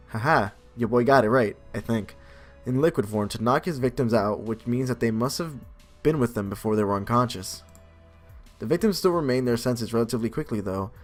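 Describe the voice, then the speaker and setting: Male gamer reading aloud over music. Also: medium pitch. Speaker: gaming video host. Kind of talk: reading game text aloud with casual commentary.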